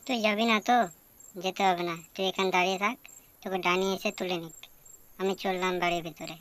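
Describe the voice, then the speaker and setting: A woman's voice: fairly low pitch, fairly even intonation, fast, fairly bright tone, close to an everyday voice. A woman voicing a bold, skeptical boy in a cartoon story, speaking in a dismissive, insistent, goading way. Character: bold, skeptical, impatient boy coaxing his timid friend. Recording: clearly noisy background.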